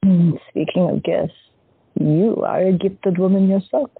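Actress playing a shy, wistful young woman artist, husky, dry and tinny. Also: fairly low pitch, steady tone, a little slow, no echo, full character voice. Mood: tender, wistful. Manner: gentle, hesitant. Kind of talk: audio drama acting. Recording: dry, tinny phone-like sound.

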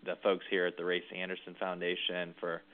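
A man being interviewed about a book he wrote, speaking thoughtfully with frequent pauses and restarts. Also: deep voice, monotone. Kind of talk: reflective conversational interview. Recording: tinny phone-like line.

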